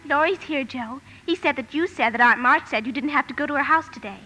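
An actress playing a sweet, artless, kindly younger sister in old-time radio drama style. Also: female voice, high voice, fairly quick, pushed and bright, faint music behind. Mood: matter-of-fact. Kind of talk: 1940s-50s radio drama acting. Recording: noisy vintage radio broadcast recording.

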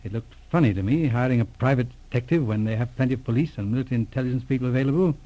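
A man's voice: mid-range voice, racing, dark tone, close to an everyday voice, poor audio. A man playing a shrewd, unflappable police inspector turned private detective in a radio drama, speaking in a wry, matter-of-fact way. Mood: confiding, thoughtful. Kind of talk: 1940s radio drama acting.

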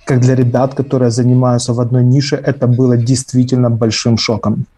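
A man from Ukraine speaking as an interview guest, halting with many pauses. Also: mid-range voice, flat delivery, mellow, husky. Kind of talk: interview answers.

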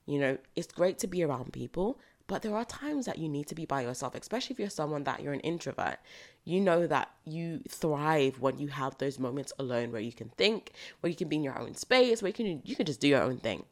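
A British woman hosting a solo podcast, slightly croaky from recording early in the morning, speaking in an earnest, motivational way and repeating phrases for emphasis. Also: low-pitched, lively intonation, fairly quick, a little mellow. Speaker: podcast host. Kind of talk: solo reflective podcast monologue.

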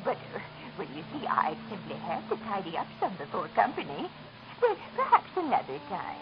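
Fluttery elderly hostess, very sing-song, muffled vintage broadcast. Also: female voice, mid-range voice, wildly swooping pitch, brisk. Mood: genteel. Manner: fluttery, genteel. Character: sweet-seeming elderly lady hostess. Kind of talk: 1940s radio drama acting. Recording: noisy dull old radio broadcast.

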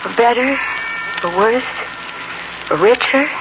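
Heartfelt young frontier bride, vintage radio. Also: female voice, fairly high pitch, expressive, takes it slowly. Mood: devoted, solemn. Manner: heartfelt, dramatic delivery. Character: devoted young frontier bride, intense and tender. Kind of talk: 1940s radio acting. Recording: noisy, muffled 1940s radio recording.

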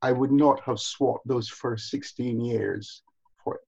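A man's voice: mid-range voice, fairly even intonation, slow, vocal fry, darkish tone, breathy edge. An older man from Barbados, reminiscing as an interview guest, repeating phrases for emphasis, on a muffled recording. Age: older, over 60. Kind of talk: reminiscing in conversation.

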